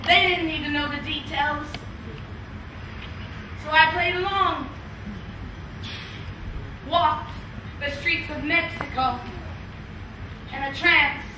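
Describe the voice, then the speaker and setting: An actress playing an anguished woman who confesses buried trauma in slow, poetic, theatrical verse on stage. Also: high-pitched, steady tone. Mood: haunted, grieving. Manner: slow, poetic, dramatic delivery. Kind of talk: theatrical spoken-word monologue with sung refrains. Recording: echoey stage hall, distant mic, noise.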